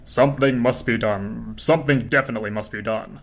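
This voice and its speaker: A man voicing a stuffy, proud old vacuum cleaner in a storybook reading, deliberate and pompous. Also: mid-range voice, steady tone, lightly acted. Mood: concerned. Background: orchestral film score.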